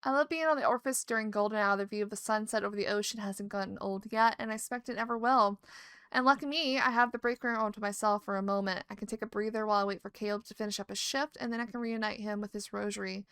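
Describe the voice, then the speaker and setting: A woman's voice: medium pitch, steady tone, fast, fairly bright tone, clear, resonant tone. A woman playing a story game, reading its dialogue and letters aloud and stopping to react. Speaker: let's play streamer.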